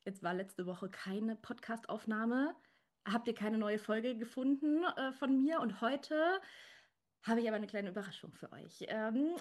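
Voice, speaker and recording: A woman's voice: on the high side, unhurried, a little mellow. A woman hosting a casual podcast interview, chatty, with many fillers and restarts, and slightly congested from a cold. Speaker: podcast host.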